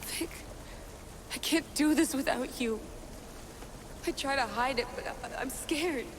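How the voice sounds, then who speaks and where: A woman's voice: high voice, measured pace, background noise. A woman playing a defiant, headstrong young female assassin with emotional intensity in a video game cutscene. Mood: frightened, pleading.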